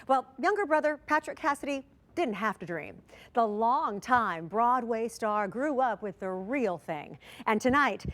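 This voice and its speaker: Polished woman narrating a TV news feature. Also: on the high side. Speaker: TV news reporter.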